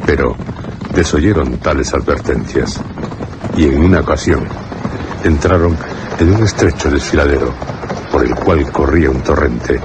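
A man's voice: very deep voice, noisy background. A man with an accent from Spain narrating a scripted radio drama.

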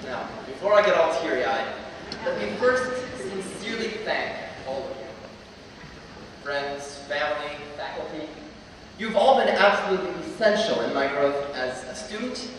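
A man's voice: a little high, very animated, normal speed, fairly bright tone, distant mic, a little hiss or hum. Teen boy speaking in an echoey hall. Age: late teens. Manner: earnest with wry humor. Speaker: student graduation speaker. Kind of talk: commencement address. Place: large auditorium at a lectern. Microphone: stand mic, filmed from far off. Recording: echoey hall recorded from afar.

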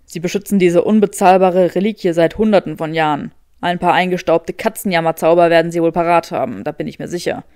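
A woman's voice: lowish voice, brisk, hardly any pauses, darkish tone, clear, resonant tone, no echo, clean studio sound, pitched below the performer's own voice, lightly acted. A woman voicing a haughty, skeptical young wizard man with a drily sardonic drawl in an audiobook. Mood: dry, skeptical.

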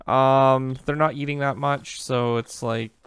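A man hosting a casual let's play, talking in a relaxed, rambling way with lots of fillers while losing his voice. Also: mid-range voice, unhurried, smooth, dry recording. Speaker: gaming channel host.

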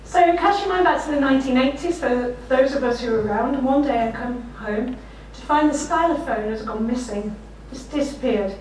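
A middle-aged woman with a slightly croaky voice, giving a conference keynote with self-deprecating anecdotes. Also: high-pitched, normal speed, hardly any pauses, slight background noise. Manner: warm anecdotal, self-deprecating, often laughing while speaking. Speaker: keynote speaker. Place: lecture room, standing behind a desk. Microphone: room mic, far from speaker. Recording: echoey lecture room from far off.

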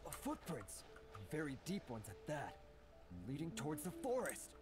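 Anxious, polite merchant. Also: male voice, a little high, huge pitch swings, a little slow, over loud music. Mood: earnest. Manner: hesitant, polite. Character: anxious, deferential gentleman merchant. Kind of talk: video game voice acting.

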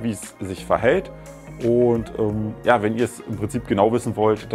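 A man's voice: lowish voice, darkish tone. A man in his thirties casually reviewing gadgets at home, talking in a chatty, explaining way with plenty of fillers. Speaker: tech reviewer. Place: living room at home, indoors.

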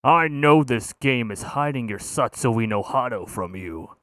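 A man's voice: mid-range voice, expressive, a bit bright, no room sound. A man voicing an arrogant, cruel martial arts villain with demonic power, gloating with contempt in video game taunts. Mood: menacing, gloating. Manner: contemptuous, slow, deliberate gloating.

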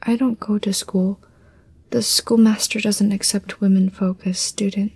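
A woman playing a polite, hesitant but stubborn young village girl in an animated film. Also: mid-range voice, a little slow, slightly rough, dry recording. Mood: subdued, earnest. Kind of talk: animated film character acting.

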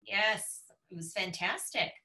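A woman's voice: medium pitch, slow, sharp tone. An American woman in her forties casually hosting an online event from home over a video call. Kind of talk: casual moderating. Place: home living room.

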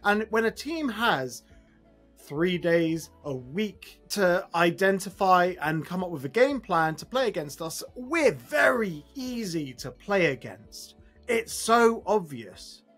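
A man in his thirties giving a blunt, emphatic, exasperated fan reaction to camera after a football match, with a husky voice. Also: high-pitched, sing-song, takes it slowly, fairly bright tone, faint music behind. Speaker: football fan channel host. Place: small room at home, indoors.

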